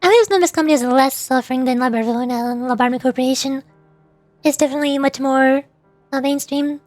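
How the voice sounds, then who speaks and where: A woman's voice: sits high, fast, a little mellow, no echo. A woman chatting casually on a livestream as a VTuber game streamer, in a giggly, rambling way. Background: faint music.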